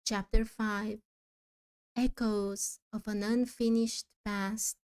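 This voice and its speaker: A woman's voice: medium pitch, flat delivery, slow, darkish tone, clean tone. A woman giving casual commentary on her own let's play of a game, reacting as she goes. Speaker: gamer voicing a let's play.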